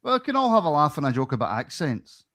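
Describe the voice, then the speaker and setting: A man hosting a podcast, jokey and quick to laugh at himself. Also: fairly high pitch, big pitch swings, fairly bright tone, dry recording. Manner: jokey, laughing, self-mocking. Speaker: podcast host. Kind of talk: casual podcast chat.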